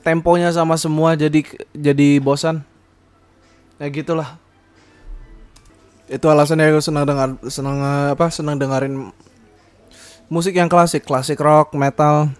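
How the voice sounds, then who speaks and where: A man's voice: a little high, little pitch movement, moderate pace, pauses often, clean tone, soft background music. Rambling young man, casual podcast chat. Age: young adult. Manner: rambling, many fillers and self-corrections, laughs often. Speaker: podcast host.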